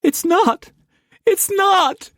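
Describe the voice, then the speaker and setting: A man voicing a distraught young woman of twenty who pleads in a high, shrill voice. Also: big pitch swings, slow, a bit bright, no echo, studio quality, heavily acted. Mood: pleading, distraught.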